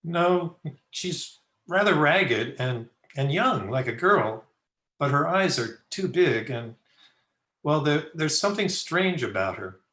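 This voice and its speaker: A man playing a kindly, earnest young gentleman in an old-time radio drama. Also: fairly high pitch, big pitch swings, slow, exaggerated voice. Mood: earnest, puzzled. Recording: roomy home video-call audio.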